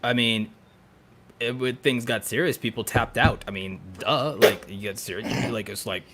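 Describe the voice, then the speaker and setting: A man chatting casually as a podcast co-host, telling stories in an animated, geeky, emphatic and joking way. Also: mid-range voice, moderate pace, very noisy. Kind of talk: casual chat.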